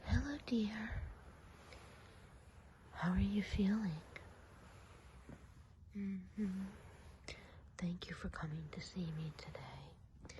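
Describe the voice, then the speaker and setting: A kindly witch healer of the woods, played by a woman, whispers softly and breathily in an ASMR roleplay. She is chatty and confiding, with a soothing manner and medium pitch. Faint noise sits behind the whisper. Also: slow pace, long pauses, close to an everyday voice, low-quality recording. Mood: soothing concern.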